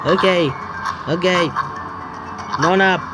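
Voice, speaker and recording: A man hosting a gaming video, reading game text aloud and commenting on it in a jittery, excitable way. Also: sits high, slow pace, poor audio. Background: game music.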